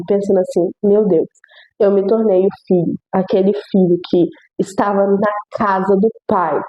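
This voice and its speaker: A young woman hosts a devotional video, reading scripture aloud and reflecting on it. Her voice is stuffy and nasal from a cold, with a mellow, unhurried warmth. She speaks earnestly and often repeats phrases for emphasis. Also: medium pitch, highs cut off.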